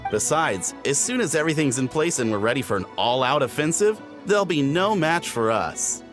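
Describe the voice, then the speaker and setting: A man's voice: a little high, lively intonation, moderate pace, lightly acted. A man playing an easygoing young warrior on guard in a video game, with a breezy, confident, casual manner. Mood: breezily confident. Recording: music behind the voice.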